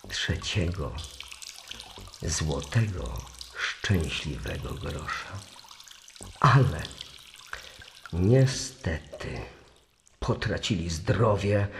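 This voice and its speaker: A man narrating a legend as the scripted storyteller of a radio play. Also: low voice. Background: music.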